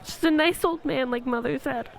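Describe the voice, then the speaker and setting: A woman's voice: high voice, moderate pace, vocal fry, poor audio. A woman playing a sincere young girl in a radio play. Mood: disappointed. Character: sincere young girl, doubting then believing.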